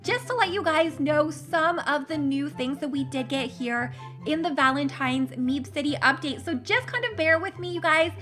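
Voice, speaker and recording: A young woman chatters bubbly and gushing over a game, her high voice a little worn because she is losing it. Gasps and giggles slip between her lines. Upbeat background music plays clearly beneath her. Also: animated, moderate pace, fairly bright tone. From Canada. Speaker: gaming channel host. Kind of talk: casual game commentary.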